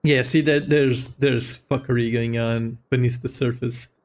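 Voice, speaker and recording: A man's voice: medium pitch, fairly even intonation, measured pace, darkish tone. A man giving casual, offhand commentary while streaming a video game, repeating himself and swearing freely. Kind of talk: casual live playthrough commentary.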